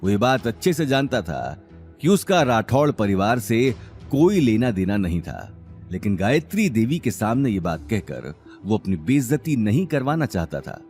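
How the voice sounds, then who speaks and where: A man's voice: on the low side, big pitch swings, moderate pace, darkish tone, soft background music. A man narrating a scripted audio drama story in a dramatic style.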